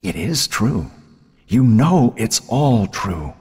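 A man's voice: medium pitch, huge pitch swings, moderate pace, a little mellow, husky, in a large hall, pitched above the performer's own voice. A man voicing a mocking, relentless dead woman who taunts venomously in an audiobook. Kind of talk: audiobook character voice.

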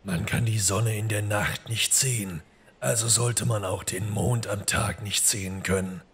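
A man voicing a mysterious goat-man of the woods in an audio horror story, gravelly and husky, cryptic and dry-witted. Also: lowish voice, fairly even intonation, a bit bright, voice pushed down, full character voice. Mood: dryly wry. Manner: slow, cryptic, deliberate.

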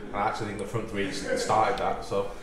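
Brisk manager in a booming echoey room. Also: male voice, monotone, a crowd in the background. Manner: brisk and matter-of-fact, with repeated restarts. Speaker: football manager. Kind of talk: post-match interview. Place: small indoor club room.

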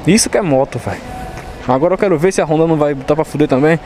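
A young man from Recife with a Pernambuco accent, hyping motorcycles in a slangy, excited vlog from a showroom on an action camera. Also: fairly high pitch, racing, soft background music. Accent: Pernambuco Brazilian Portuguese. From Recife, Brazil. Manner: excited, slangy, hype-driven. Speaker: motorcycle vlogger. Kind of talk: casual slangy vlog chat. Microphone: chest-mounted action camera.

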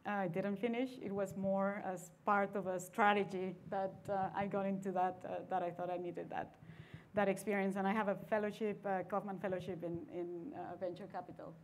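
A woman's voice: mid-range voice, little pitch movement, moderate pace, slight background noise. A woman from Mexico speaking as a venture capitalist on a conference panel, chatty and laughing lightly between phrases. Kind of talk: panel discussion. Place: conference stage seated in armchair.

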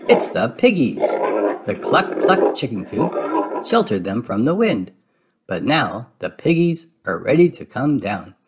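Animated, unhurried male storybook reader. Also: medium pitch, heavy background noise. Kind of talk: expressive children's read-aloud narration.

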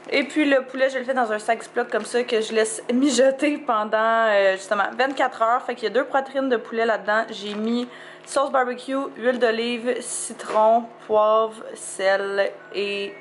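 Young Québécoise woman vlogger, bright-ish voice, casual chat, roomy sound with faint hiss. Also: on the high side. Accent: Quebec French. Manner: chatty, relaxed, fillers like "fait que". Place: home kitchen and parked car. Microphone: handheld camera at arm's length.

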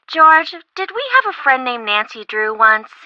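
Chatty young American best friend, playful, game character, high-pitched, dry slightly muffled recording. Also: female voice, moderate pace, no echo. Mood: exasperated, teasing. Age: young adult. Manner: expressive, teasing, casual. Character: bubbly, chatty young woman, playful best friend who loves shopping. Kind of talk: video game voice acting.

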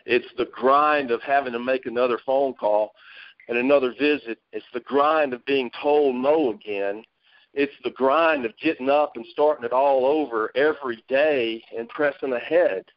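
A man's voice: medium pitch, normal speed, no room sound. Man on a dry, muffled phone line. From Mississippi, United States. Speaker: guest motivational speaker. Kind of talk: folksy storytelling pep talk. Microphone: phone conference call.

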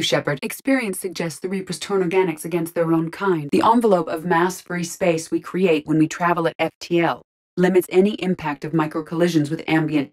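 A woman's voice: on the low side, close to an everyday voice. A woman playing a logical, composed starship AI in an android body, speaking scripted game lines with precise, formal diction. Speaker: video game character voice actor.

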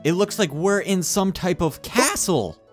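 A young man chatting casually and excitably with friends as he hosts a gameplay video. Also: high-pitched, unhurried. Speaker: gaming video host. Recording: game music behind the voice.

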